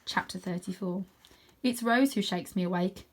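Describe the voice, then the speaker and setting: A woman's voice: lowish voice, moderate pace. Woman reading a children's story aloud. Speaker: reader of children's stories. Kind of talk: expressive storybook read-aloud with chatty asides.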